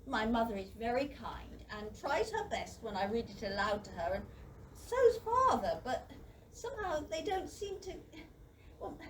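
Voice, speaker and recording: A woman's voice: fairly high pitch, sing-song, normal speed. A woman playing an earnest, bookish village boy in a children's audio play, blunt but kind, by turns hesitant and exasperated. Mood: wistful. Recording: noisy, slightly distant microphone.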